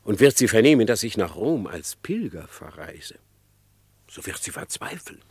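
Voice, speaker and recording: An actor playing a sly, scheming male fox in a radio play, smooth and mocking with chuckles. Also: fairly low pitch, very animated, moderate pace, dry recording, studio quality, exaggerated voice. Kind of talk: classic radio play acting.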